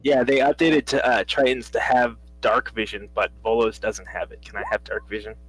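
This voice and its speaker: Halting, chatty man at a game table. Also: mid-range voice, steady tone, a bit bright, low-quality recording. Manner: halting, many restarts and laughs. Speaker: player. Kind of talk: casual tabletop game chat.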